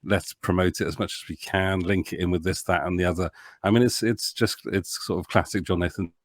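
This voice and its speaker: A man giving his opinions in a casual podcast discussion, feeling his way haltingly through his explanations. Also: deep voice, steady tone. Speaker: podcast panellist.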